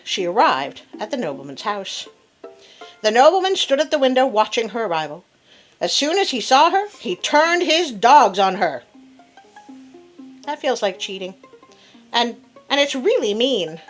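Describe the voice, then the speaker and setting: A woman telling a fable as host in an expressive, theatrical read-aloud style with playful asides. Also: a little high, poor audio. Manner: theatrical, animated. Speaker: storyteller host. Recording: classical music playing behind. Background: soft piano sonata.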